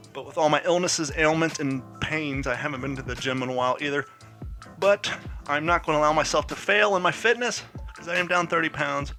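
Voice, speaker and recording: A man's voice: mid-range voice, bright, forceful tone. A man hosting his own podcast, congested from a head cold, telling a rambling personal story with frank, joking candor. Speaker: podcast host. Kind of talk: casual solo podcast talk.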